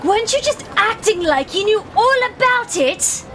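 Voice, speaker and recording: A woman's voice: very high-pitched, lively intonation, normal speed, a bit bright, slight background noise. A woman playing a flirtatious, impatient aristocratic aunt in a manga dub, theatrical and haughty. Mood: exasperated. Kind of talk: scripted anime-style manga dub acting.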